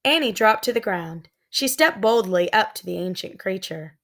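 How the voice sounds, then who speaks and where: A woman reading a children's story aloud as expressive narration, with measured, suspenseful phrasing. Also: medium pitch. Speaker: storybook reader.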